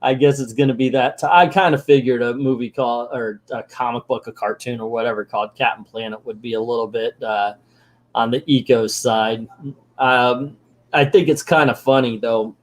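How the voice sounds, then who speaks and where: A man's voice: mid-range voice, fairly even intonation, moderate pace. A man from Florida reading a comic aloud as a reviewer, with jokey, sarcastic asides. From Florida, USA.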